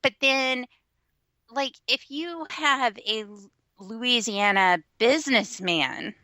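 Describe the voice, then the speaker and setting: Brief: Texan woman, blogger, rambling storyteller, bright voice. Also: a little high, takes it slowly, no room sound, studio quality. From West Texas. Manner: chatty storyteller, lots of fillers. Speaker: blogger guest. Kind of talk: casual chat.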